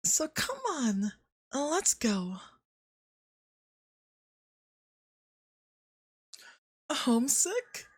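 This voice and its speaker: Woman playing a high-voiced, possessive young god. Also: sing-song, no room sound, clean studio sound, exaggerated voice. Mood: doting, coaxing. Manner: unhurried, smug, theatrical, doting. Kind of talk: ASMR roleplay monologue.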